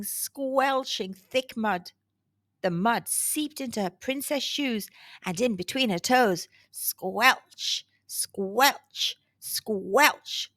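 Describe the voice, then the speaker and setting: A woman storyteller narrating a picture book for children with dramatic, deliberate emphasis. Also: fairly high pitch, a bit bright, no echo, clean studio sound. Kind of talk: scripted storybook narration for kids.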